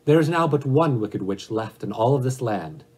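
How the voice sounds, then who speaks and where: A man's voice: medium pitch, animated, normal speed, a little mellow, breathy edge, voice pushed up. A man voicing a giant, stern wizard head with an imperious, demanding, declamatory manner. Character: giant disembodied wizard head, great, terrible, stern and commanding.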